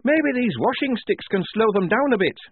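A man's voice: high-pitched, expressive, moderate pace, breathy edge, no echo, narrow, tinny audio, pitched above the performer's own voice. A man voicing a timid, anxious young woman soldier with a quavering, hesitant delivery.

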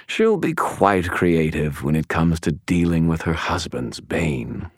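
A man's voice: very deep voice, big pitch swings, takes it slowly, no echo, clean studio sound, exaggerated voice. A man voicing a smug, gloating villain in an audiobook, taunting and self-satisfied. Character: smug, gloating male villain holding captives. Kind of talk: audiobook character voice.

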